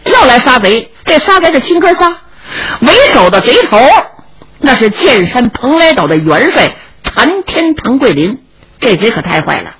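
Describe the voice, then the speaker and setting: A woman's voice: a little high, sing-song, moderate pace, fairly bright tone, husky, full character voice, poor audio. A woman voicing a brave young swordsman in a storytelling performance, chatty, coaxing and a little boastful. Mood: boastful, excited.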